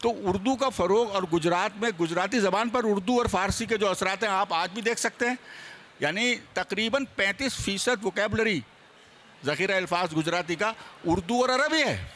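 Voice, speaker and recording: A man with a notably high voice speaks on a seminar panel. He lays out history emphatically, counting off his points and pressing them home. A little noise sits behind him at the live event. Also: normal speed, a bit bright. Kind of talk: animated explanatory panel talk on theatre history.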